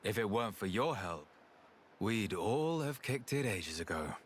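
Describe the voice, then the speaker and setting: Deep-voiced, easygoing fighter. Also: male voice, sing-song, a little slow, slight background noise. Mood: grateful. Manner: casual, plain-spoken. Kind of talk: video game cutscene acting.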